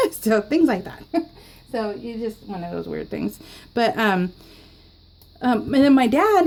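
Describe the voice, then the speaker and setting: A woman's voice: medium pitch, mellow. A middle-aged woman chatting casually in a rambling, giggly way as she narrates a craft video. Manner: giggly, frequently laughing, rambling anecdotal storytelling. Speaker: scrapbooking vlogger.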